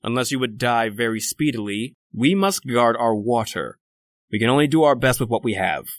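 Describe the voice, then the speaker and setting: A close, dry male voice of middle pitch, a man acting a cold and calculating doctor turned killer. He speaks formally and deliberately, in commanding old-time radio horror style. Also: animated, no echo, natural voice. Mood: stern. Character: cold, calculating educated murderer doctor, leader of escaped convicts.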